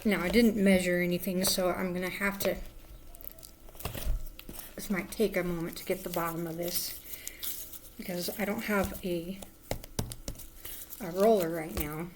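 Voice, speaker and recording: A woman with a hoarse voice, rambling casually as she hosts a crafting livestream from home, sometimes drifting into soft murmurs. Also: fairly low pitch, steady tone, unhurried, pauses often, a little hiss or hum. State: hoarse, lost voice. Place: at home indoors.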